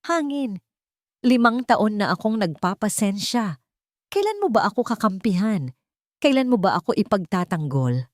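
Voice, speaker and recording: A woman's voice: mid-range voice, big pitch swings, moderate pace, no echo, studio quality, voice pushed up, natural voice. Woman acting a defiant, wounded wife, emphatic. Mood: bitter, pleading. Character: fed-up wronged wife, defiant and pained.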